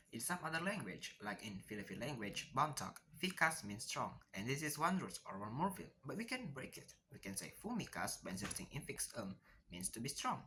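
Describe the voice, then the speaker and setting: A native Indonesian speaker presents a class lesson, reading examples in a steady, explaining way. The voice is bright and the pitch lively. Faint noise sits behind it. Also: rough recording. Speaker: student presenter.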